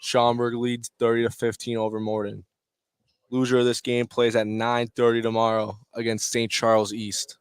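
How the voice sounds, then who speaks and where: A man doing live play-by-play basketball commentary in clipped phrases, with excitable calls on big plays. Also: mid-range voice, moderate pace, clean tone, no room sound. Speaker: play-by-play sports announcer. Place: courtside at a basketball game.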